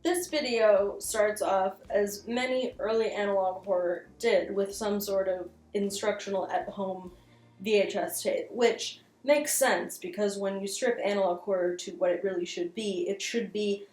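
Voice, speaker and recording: A woman's voice: mid-range voice, fairly even intonation, a little slow, darkish tone. A woman hosting a casual YouTube commentary, speaking in an informal, slangy, self-deprecating way. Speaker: YouTube commentator, video essay host. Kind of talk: casual video essay commentary. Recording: roomy echo, music underneath. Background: background music.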